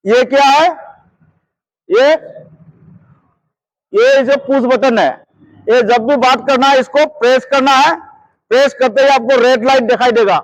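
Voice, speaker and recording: A man speaks in a strikingly high voice, recorded quite dry. He teaches like a practical trainer, repeating himself and regularly checking that everyone follows. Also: steady tone, measured pace, long pauses, dry recording, poor audio. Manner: repetitive, checks understanding often. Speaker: security guard trainer. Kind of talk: hands-on instructional lesson.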